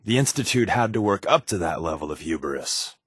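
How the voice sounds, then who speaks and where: A man's voice: deep voice, lively intonation, fairly quick, a bit bright, husky, no echo, clean studio sound, full character voice. A man playing a wry, sardonic secret agent companion in a video game, talking casually and confidingly with a wisecracking edge. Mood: explaining, thoughtful. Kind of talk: conversational video game voice acting.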